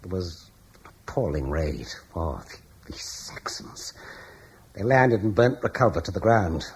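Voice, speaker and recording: A fearful, stammering neighbor. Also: male voice, low voice, sing-song, a little slow, heavily acted. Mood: bitter. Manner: hesitant, stammering, gloomy. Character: anxious older Romano-British man, fearful, bitter. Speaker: neighbor fleeing with his wife. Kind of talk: radio drama acting. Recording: old broadcast with faint hiss.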